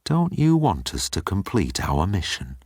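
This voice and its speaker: A man's voice: low-pitched, wildly swooping pitch, a little slow, a little mellow, slightly rough, dry recording, studio quality, full character voice. A man voicing a pompous, menacing old alien commander who croons with sardonic deliberation in a sci-fi audiobook. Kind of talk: audiobook character acting.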